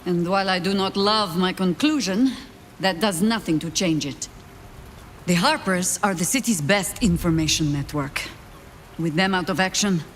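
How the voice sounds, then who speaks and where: A woman playing an older, world-weary warrior leader, with a measured, dry, sardonic manner in a fantasy game drama. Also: on the low side, a little hiss or hum, natural voice. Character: wry, world-weary old female warrior, pragmatic leader.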